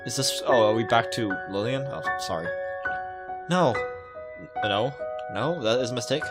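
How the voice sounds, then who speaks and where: A man's voice: medium pitch, lively intonation, moderate pace. A man playing a visual novel, reading it aloud and musing with casual asides. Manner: musing, reacting aloud to the story. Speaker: let's player. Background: game music.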